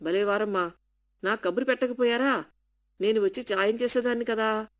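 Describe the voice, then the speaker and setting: A woman's voice: on the high side, normal speed, natural voice. Woman reading as a caring old housemaid. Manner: warm, coaxing. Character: kind older housemaid, caring.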